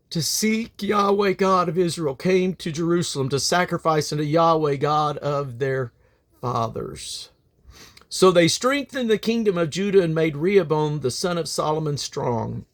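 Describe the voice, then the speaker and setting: A man reading scripture aloud in an emphatic, solemn and deliberate way, as a Bible reader. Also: mid-range voice.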